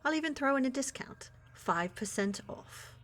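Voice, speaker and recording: A woman's voice: high-pitched, lively intonation, moderate pace, fairly bright tone, faint music behind. A woman playing a flirty, motherly witch shopkeeper in an ASMR fantasy roleplay, with a coaxing, teasing manner. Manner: coaxing, teasing, addresses listener as dear.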